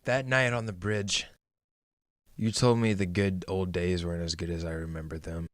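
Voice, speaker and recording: A man playing an embittered, world-weary villain in an audio drama, speaking gravely and solemnly. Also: low voice, steady tone, normal speed, no echo, natural voice. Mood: bitter, resigned.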